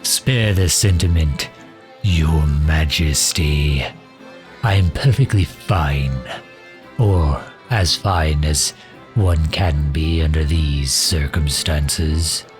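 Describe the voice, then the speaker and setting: A man playing a loyal, sharp-tongued mage advisor in an ASMR roleplay drama, with a dry, sardonic, drawn-out delivery. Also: rumbling low, faint music behind. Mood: teasing, sardonic.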